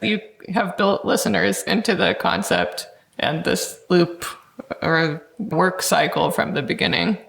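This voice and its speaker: A woman hosting an interview, posing considered questions and comments in a measured, articulate, academic way. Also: lowish voice, vocal fry, a bit bright. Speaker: interviewer, host. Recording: roomy echoing sound.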